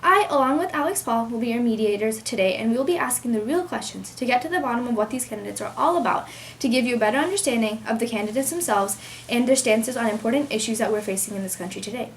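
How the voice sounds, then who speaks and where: A young woman hosts a scripted debate in a formal register. She talks quickly in a fairly high voice, with slight background noise on the recording. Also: lively intonation. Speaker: debate moderator and host. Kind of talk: scripted mock debate introduction.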